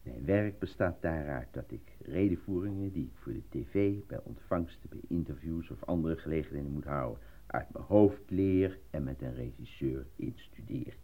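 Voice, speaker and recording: A stammering, excitable actor, husky. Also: male voice, a bass voice, moderate pace, full character voice. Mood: persuasive. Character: eager, naive, easily flustered male actor cast as minister. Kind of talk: radio play acting. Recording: muffled lo-fi old radio recording.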